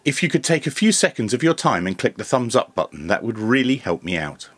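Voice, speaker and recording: A man in his fifties presenting a product review in a measured, methodical way, step by step. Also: mid-range voice, very animated, fairly bright tone, husky, dry recording. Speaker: presenter of radio equipment reviews. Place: small indoor home radio workshop.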